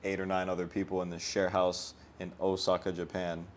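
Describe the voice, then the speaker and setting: A man hosting a casual podcast chat, relaxed and prompting his guest with short questions and replies. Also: low-pitched, fairly quick, a little hiss or hum. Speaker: podcast host.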